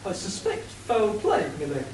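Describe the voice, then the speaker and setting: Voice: mid-range voice, huge pitch swings, moderate pace, a little mellow. An amateur actor playing an earnest, officious police inspector in a radio play. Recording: roomy, distant, faint hiss.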